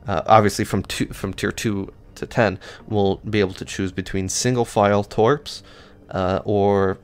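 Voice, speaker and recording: A man's voice: fairly low pitch, fairly even intonation, a little slow, smooth. A man hosting a gaming channel, casually walking through ship statistics in a rambling, offhand way with many fillers and restarts. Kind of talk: casual gameplay stats walkthrough.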